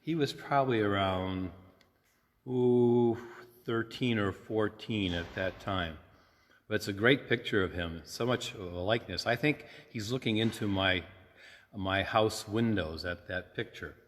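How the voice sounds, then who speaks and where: A man in his sixties, a priest, talking informally and simply to children at storytime, gently explaining and checking in with questions. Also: lowish voice, measured pace, slight background noise. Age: 60s. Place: inside a church. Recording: echoey, long reverb of a church.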